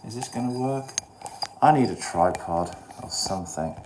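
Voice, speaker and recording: A man's voice: fairly low pitch, expressive, moderate pace, darkish tone, a little hiss or hum. A man with a nasal, stuffed-up voice from a cold, chatting informally on a livestream and muttering asides to himself. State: has a head cold. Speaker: livestream host. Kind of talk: casual livestream chat, greeting viewers while looking over fish tank.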